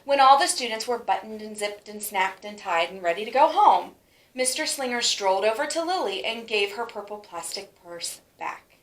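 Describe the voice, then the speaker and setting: A woman reading a children's story aloud in an animated way, stretching words for emphasis. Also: mid-range voice, moderate pace. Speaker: storybook reader. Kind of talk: expressive children's read-aloud. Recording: roomy, echoing sound.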